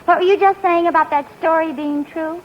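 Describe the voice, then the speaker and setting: An actress playing a sweet, gently teasing wife in a 1940s radio sitcom, with a wry, laughing way of talking. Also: female voice, very high-pitched, fairly even intonation, brisk. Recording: lo-fi 1940s radio broadcast, loud studio audience laughter and hiss.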